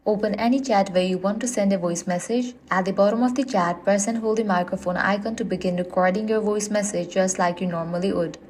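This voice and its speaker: A woman's voice: medium pitch, fairly even intonation, fairly quick, hardly any pauses, darkish tone, slight background noise. A woman reading a scripted how-to guide in an instructional, step-by-step manner. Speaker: tutorial narrator.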